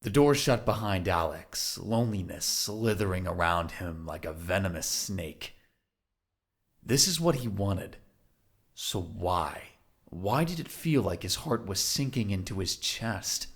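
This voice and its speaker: A man's voice: deep voice, expressive, measured pace. A man narrating a scripted audio drama in a dramatic storytelling style.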